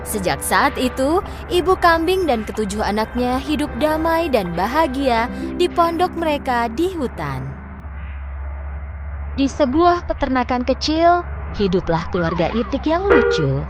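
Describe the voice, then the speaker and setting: A woman's voice: high voice, very animated, a little slow, over music. A woman storyteller reading scripted fairy tale narration for children.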